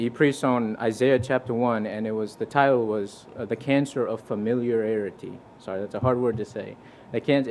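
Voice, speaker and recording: A young man from Fiji preaches his testimony in a church, earnest and conversational. His voice sits at an ordinary middle pitch and he often restarts his sentences. A faint background noise runs under the recording. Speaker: visiting preacher. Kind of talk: sermon with personal testimony.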